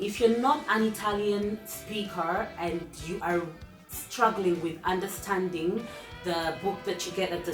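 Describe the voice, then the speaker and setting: Chatty woman vlogger in an echoey room. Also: on the low side. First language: English. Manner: chatty, talks straight to viewers and lists numbered tips. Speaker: vlogger hosting her own channel. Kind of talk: casual tips talk to viewers. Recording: roomy echo.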